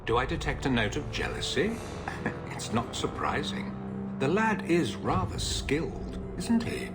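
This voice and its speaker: A man's voice: a little high, sing-song, a little slow, over loud music. An actor playing a cruel, smug male magister who taunts his former slave in a silky, condescending way. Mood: taunting.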